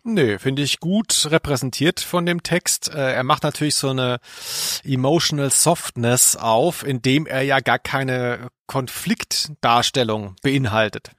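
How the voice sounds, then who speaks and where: A man's voice: mid-range voice, a little slow, a bit bright, no room sound, clean studio sound. A man co-hosting a podcast in casual, analytical conversation. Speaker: podcast co-host.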